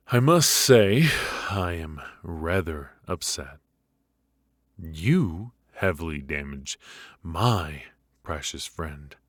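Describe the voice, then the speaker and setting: A man playing a menacing, teasing mafia boss in an intimate ASMR roleplay, speaking with deliberate pauses and mock politeness. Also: rumbling low, no echo, studio quality, full character voice. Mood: displeased, menacing. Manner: slow, deliberate, mockingly polite with drawn-out pauses.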